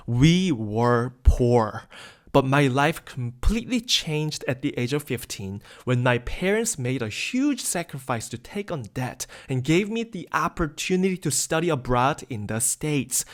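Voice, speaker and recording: A man in his thirties from South Korea hosting a webinar, delivering an upbeat, persuasive scripted sales pitch. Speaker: webinar host.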